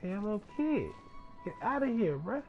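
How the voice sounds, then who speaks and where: A man's voice: high voice, sing-song, normal speed, phone-quality sound. A man giving casual, slangy, offhand commentary while playing a video game. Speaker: gamer, channel host. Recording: game music behind the voice.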